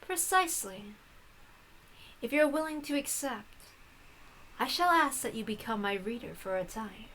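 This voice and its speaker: Woman voicing an ancient, haughty, high-voiced witch. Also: animated, poor audio. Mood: formal, courteous. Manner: quiet, measured, formal and imperious. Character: ancient, haughty, frail female witch, formal and serene. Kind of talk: visual novel read-aloud.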